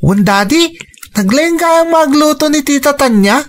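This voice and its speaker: Radio drama role: polite, protective Filipino boy with a fairly high voice. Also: animated, normal speed. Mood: eager. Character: young boy Carl, polite protective older brother. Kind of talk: Ilocano radio drama acting.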